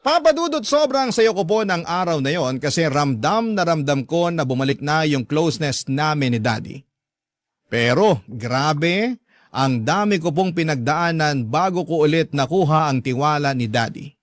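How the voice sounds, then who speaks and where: Male radio narrator: slightly high voice, earnest first-person storytelling, dry studio sound. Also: animated, moderate pace, dry recording. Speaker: narrator reading a listener's letter.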